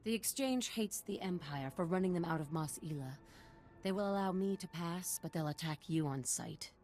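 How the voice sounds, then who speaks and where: A woman's voice: lowish voice, animated, moderate pace, darkish tone, soft background music, lightly acted. A woman voicing an eccentric, deferential desert guide in a video game, formal and mysterious as she tells her tale. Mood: reverent intrigue. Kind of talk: scripted video game acting.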